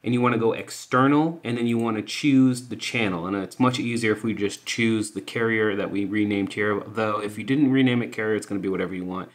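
A man hosting a music software tutorial, explaining informally and thinking aloud as he goes. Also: lowish voice, brisk, hardly any pauses. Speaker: music production tutorial host.

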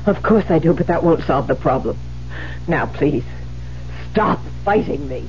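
Distraught guilt-haunted widow, wildly swooping voice. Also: female voice, expressive, a little slow, a little mellow. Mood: insistent, agitated. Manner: stammering, pleading, highly emotional. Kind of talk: 1970s radio drama acting. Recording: muffled, noisy vintage radio broadcast.

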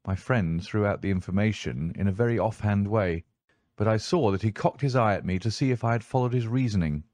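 Low, measured male audiobook narrator. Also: a little mellow, no echo. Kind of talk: scripted literary narration.

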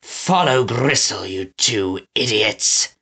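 A man's voice: lowish voice, expressive, slow pace, bright, forceful tone, breathy edge, voice pushed down, heavily acted. A man voicing a pompous, imperious villain boss from a storybook, giving grandly formal orders. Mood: irritated.

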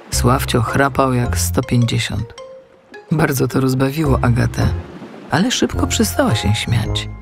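A man narrating a children's audiobook in an expressive, scripted storytelling style. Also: medium pitch, gravelly.

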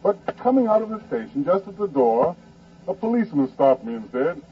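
Muffled old-radio wry American storyteller. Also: male voice, a little high, very animated, a little fast, soft background music. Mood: wry amusement. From Kansas, United States. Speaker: narrator and essayist. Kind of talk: 1940s radio dramatized first-person narration. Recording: muffled, distant, noisy old radio broadcast.

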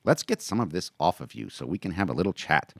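A man's voice: low voice, very animated, fast, no echo, clean studio sound, exaggerated voice. A man voicing a tender, motherly widow who soothes a child in a raised, coaxing voice. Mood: soothing. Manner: soft, coaxing, lifted voice.

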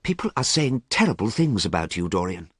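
A man reading as an earnest artist who talks quietly and sadly to a friend he fears for. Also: fairly low pitch, very animated, brisk, breathy edge, no room sound, studio quality. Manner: quiet, pleading, sad. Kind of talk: audiobook character reading.

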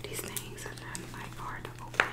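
A young American woman whispering in casual ASMR chat, playful and competitive, close to a desktop microphone. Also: normal speed. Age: young adult. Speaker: mukbang video host. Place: small indoor room at a table.

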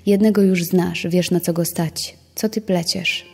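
A woman recounts what she witnessed in a dark, husky voice. The recording carries a long hall reverb. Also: on the low side, steady tone, a little slow, faint music behind. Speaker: interviewee, witness. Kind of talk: recounted testimony.

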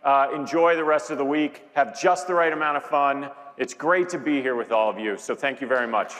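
A man in his fifties co-presents a keynote in a conversational executive style. His pitch is average and he repeats phrases for emphasis. The sound carries the reverb of a big hall. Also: a little fast, background noise. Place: large conference stage with audience. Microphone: lapel mic.

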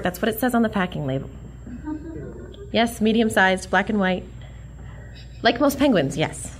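Woman as a sassy young heroine, highish lively voice, plucky and perky, comic radio play, faint noise. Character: plucky, wisecracking young female museum worker heroine. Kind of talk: comic radio drama acting.

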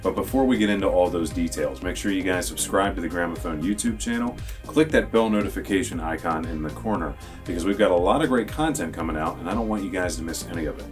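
Low-pitched American man presenting products over music. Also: fast talker, few pauses. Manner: enthusiastic, emphatic sales talk. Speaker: product presenter for an audio store. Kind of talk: scripted product review to camera. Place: small indoor studio with dark backdrop.

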